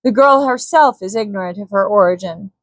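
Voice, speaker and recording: A woman's voice: medium pitch, a little fast, dry recording, lightly acted. A woman voicing a frightened, scheming Swedish villain in a storybook reading, pleading and making excuses. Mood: bargaining. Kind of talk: dramatic storybook character voice.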